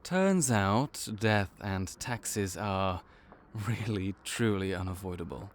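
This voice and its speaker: A man's voice: deep voice, slow, a little hiss or hum. A man voicing a laid-back vampire clerk and mentor in an audio roleplay, dry and wry as he speaks to the listener. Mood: easygoing.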